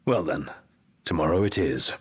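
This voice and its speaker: An actor playing a refined, dutiful royal advisor in a video game, with a British accent and formal, gently wry phrasing. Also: male voice, a bass voice, lively intonation, moderate pace, breathy edge, exaggerated voice. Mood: calm, courteous. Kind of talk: video game cutscene acting.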